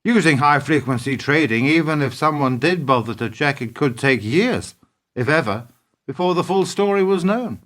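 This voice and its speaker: Guy acting high voiced idealist businessman in a radio play, earnest n indignant, really making his case. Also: animated, normal speed, a bit bright. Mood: grim realization.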